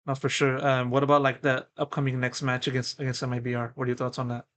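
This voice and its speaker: A man's voice: medium pitch, completely flat delivery, fast talker, fairly bright tone, a little hiss or hum. Man asking long, filler-filled interview questions. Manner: wordy, filler-heavy questions.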